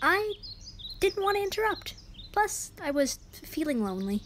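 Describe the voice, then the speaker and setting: A meek, polite loser of a guy acted by a woman, with a high voice. He sounds lonely and earnest, in a comic radio play manner. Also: big pitch swings, moderate pace, fairly bright tone, full character voice. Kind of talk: radio play comedy acting.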